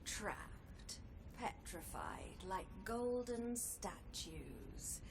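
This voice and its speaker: Slow, theatrical, proud swordswoman. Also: medium pitch, expressive, background noise. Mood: haughty, melancholy. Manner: theatrical, ornate, deliberate phrasing. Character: proud, elegant swordswoman, eldest sister of embroiderers. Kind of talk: dramatic video game voice acting.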